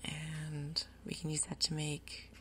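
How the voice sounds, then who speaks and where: A woman gaming host giving soft, whispery ASMR commentary, casually thinking aloud in an unhurried way. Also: low voice, completely flat delivery, a little hiss or hum. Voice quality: soft, whispery, breathy undertone. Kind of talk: soft-spoken ASMR Minecraft gameplay commentary.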